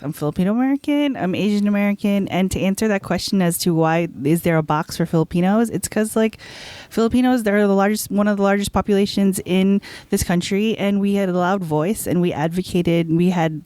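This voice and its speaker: A young adult podcast guest speaks earnestly and with firm opinions, working through the thoughts out loud. The voice is smooth and crisp. It is recorded close and dry, with no room sound. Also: medium pitch, dry recording. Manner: earnest, opinionated, thinks aloud with fillers. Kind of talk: casual panel chat.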